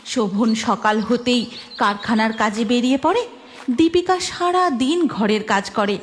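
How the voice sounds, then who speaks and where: A woman narrating a cartoon story in a steady storybook telling manner. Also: on the high side, unhurried, a little hiss or hum. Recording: music bed behind the voice.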